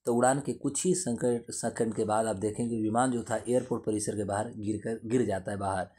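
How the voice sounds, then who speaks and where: A man's voice: on the low side, steady tone, brisk, warm tone. A man giving an explanatory lesson in a didactic way, repeating and rephrasing his points. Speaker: teacher explaining current affairs.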